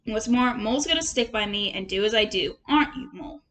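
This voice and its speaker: A woman's voice: a little high, expressive, fairly quick, fairly bright tone, pitched below the performer's own voice. A woman voicing a stubborn but patient male water rat in a storybook, firm and grumbling. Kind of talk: storybook character voice.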